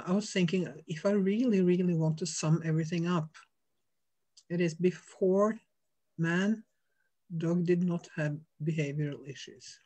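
A woman's voice: fairly low pitch, warm tone. A middle-aged woman from Norway with a Norwegian accent, speaking English as a second language, talking frankly and unhurriedly as a dog trainer in a video call interview from her home. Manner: frank, laughs easily, unhurried. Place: home room with shelves.

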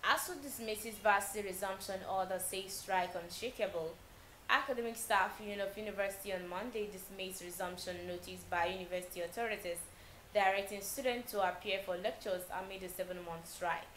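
A young Nigerian woman reading a news bulletin as a TV newsreader, steady and measured with small slips. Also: medium pitch, reverberant, slight background noise, low-quality recording. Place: TV news studio.

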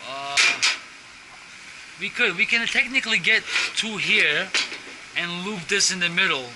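Tech on the job, higher voice, barks quick repeated commands over loud clanking gear. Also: male voice, heavy background noise. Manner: calls out quick repeated instructions. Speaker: HVAC technician. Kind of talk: directing a lift on the job. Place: inside an industrial cooling tower. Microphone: head-mounted action camera. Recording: loud machinery clanking behind.

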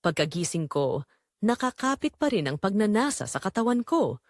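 Middle-aged mother-in-law for audio drama, played by a woman: secretly longing, guilt-ridden, deliberate emotive confession, very lively pitch, dry recording. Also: no room sound, lightly acted. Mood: yearning, ashamed. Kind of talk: dramatic first-person scripted narration.

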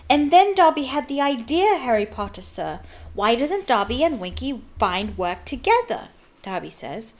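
Lady doing a tiny squeaky boy elf from a storybook, super eager n polite, voice jumps up high. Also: sing-song, normal speed. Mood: delighted, excited. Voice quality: squeaky, shrill. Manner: servile, third-person, broken grammar. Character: small eager male house elf, devoted and excitable.

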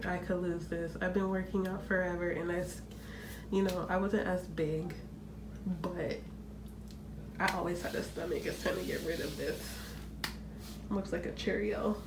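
A woman's voice: lowish voice, steady tone, normal speed, pauses often, noisy background. A young woman chatting casually into her phone camera for a vlog, a little congested, rambling and joking about herself. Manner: chatty, rambling, self-mocking. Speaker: vlogger. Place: small room indoors. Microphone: phone camera at arm's length.

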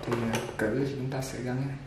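A man giving a casual unboxing demonstration of a hair clipper, talking relaxed with many fillers while he handles it. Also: medium pitch, fast talker, loud music behind the voice. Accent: Vietnamese. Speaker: product reviewer for a barber supply shop. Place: indoor shop table with clipper boxes. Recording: echoey room with machine noise. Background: clipper motor buzz and clicks.

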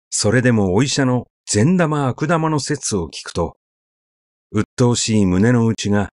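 A man narrating a literary story in a measured, conversational, expressive way, as if telling it to someone. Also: mid-range voice, no echo, studio quality.

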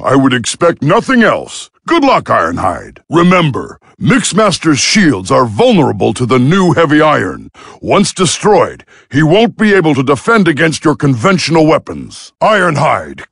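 A gruff robot field medic from a war video game. The voice is husky and gravelly, recorded dry and close. He gives orders and battle reports with urgency and a dry, sardonic edge. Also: on the high side, a bit bright, no echo, full character voice.

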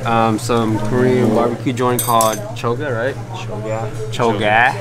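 A man's voice: medium pitch, little pitch movement, a little slow, loud music behind the voice. A young man vlogging casually in a house with friends, talking into a handheld camera in a laid-back, worn-out way. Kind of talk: casual vlog narration. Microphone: handheld camera with mounted mic.

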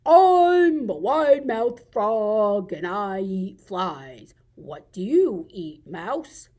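A woman voicing a cheerful, boastful wide-mouthed frog for a children's puppet show, with drawn-out, emphatic, sing-song phrasing. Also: mid-range voice, very animated, warm tone, exaggerated voice.

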